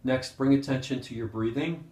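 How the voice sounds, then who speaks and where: A man leading a guided meditation as a teacher, speaking gently and unhurriedly with pauses. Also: mid-range voice. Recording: roomy, echoing sound.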